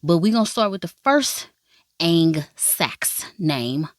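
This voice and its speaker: A woman's voice: fairly low pitch, lively intonation, slow. Woman drama vlogger, playful and emphatic, swears freely, recorded close with no room echo. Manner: talks straight to viewers, calling them baby and y'all. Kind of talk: casual first-impressions review.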